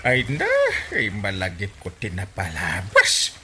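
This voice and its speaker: A man's voice: low-pitched, a little slow, over music. A man with a Filipino accent playing a playful, sentimental village captain and husband in a radio comedy drama. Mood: nostalgic, playful. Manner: exclaiming, chuckling, excitable.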